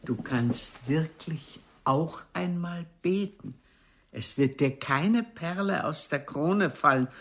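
A woman's voice: low-pitched, takes it slowly, husky, heavily acted. An older woman playing an imperious, theatrical stage actress in a radio play, haughty, teasing and declamatory. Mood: grand, coquettish.

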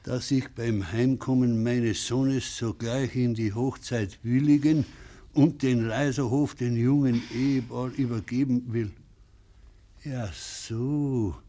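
A man acting a gruff, wily farmer in a 1950s radio drama, with a husky voice and a Bavarian accent. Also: medium pitch, fairly even intonation, slow pace, dark tone, lightly acted. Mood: indignant, scornful.

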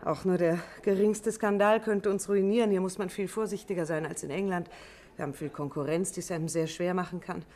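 A woman acts out a hotelier's wife in a vintage German radio drama. Her voice sits fairly low. She chats readily, stays careful about what she gives away and is eager to be of help. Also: fairly even intonation, a little fast, darkish tone, natural voice. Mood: anxious, guarded. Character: talkative Scottish innkeeper's wife, guarded, helpful.

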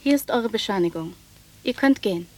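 Brisk, authoritative woman doctor, played by an actress. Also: mid-range voice, animated, lightly acted, rough recording. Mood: brisk, official. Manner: questioning, dismissive, authoritative. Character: brisk, official woman doctor examining children. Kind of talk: German radio drama acting.

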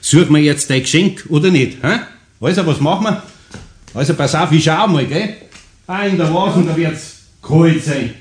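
A man's voice: a little high, normal speed, lightly acted. Casting: male actor for older Bavarian master carpenter, kindly, homely, patient, children's audio drama. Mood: brisk, friendly. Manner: homely, good-natured dialect speech. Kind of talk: radio play acting for children.

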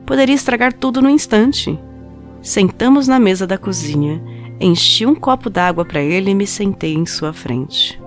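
A woman playing an obsessive, coldly rational scientist confessing to murder in a dramatized audio story, with intense, deliberate delivery. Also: medium pitch, expressive, soft background music, close to an everyday voice. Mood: tense, self-justifying. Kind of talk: dramatized first-person audio story narration.

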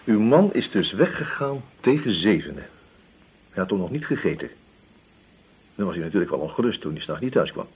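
Police inspector, very husky low voice, calm, probing, polite, radio drama. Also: male voice, heavily acted. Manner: measured, polite questioning.

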